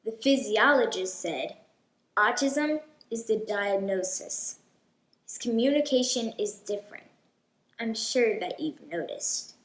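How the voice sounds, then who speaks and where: A woman hosting a children's read-aloud, telling a storybook in a deliberate, expressive way. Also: on the high side, reverberant, rough recording.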